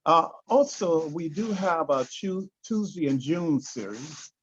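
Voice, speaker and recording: A man giving hesitant, halting opening announcements as an event coordinator over a lo-fi video call. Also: fairly high pitch, lively intonation, slightly rough. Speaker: workshop coordinator introducing the event. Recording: dull lo-fi video call audio.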